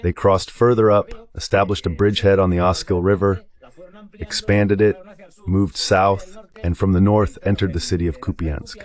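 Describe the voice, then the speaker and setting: Low-pitched man giving war commentary. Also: fairly even intonation, moderate pace, darkish tone. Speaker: guest analyst. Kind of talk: dubbed live war commentary.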